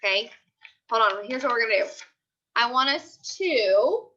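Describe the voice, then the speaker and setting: A woman's voice: a little high, animated, moderate pace, pushed and bright. A woman teacher reading a story aloud and questioning pupils in an instructional way, often repeating her phrases. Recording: dull lo-fi audio.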